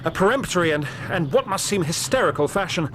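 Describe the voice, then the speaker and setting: An actor playing a distraught young English gentleman with an upper-class British accent, speaking in an agitated, well-bred way in a radio drama. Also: high-pitched, lively intonation, fast talker, slight background noise. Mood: distressed, hesitant. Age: young adult. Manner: agitated, hesitant, well-spoken.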